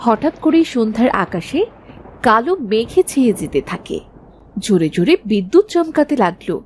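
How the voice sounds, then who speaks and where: A woman's voice: on the high side, very animated, moderate pace, a little mellow, slight background noise. A woman giving scripted narration of a village folk story as its narrator.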